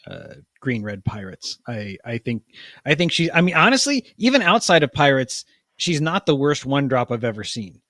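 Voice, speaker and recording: A man hosting a casual podcast chat, talking in halting bursts with many restarts. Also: mid-range voice, a bit bright, dry recording, studio quality. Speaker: podcast host.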